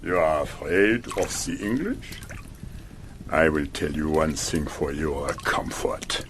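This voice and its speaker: A cruel middle-aged German officer who rules every room he enters. He drawls his words slowly and swings his pitch widely, from silky threats to gloating highs. A radio-drama villain, mocking and commanding. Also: lively intonation, a little hiss or hum, exaggerated voice. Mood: threatening. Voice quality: mocking, commanding, slow and deliberate.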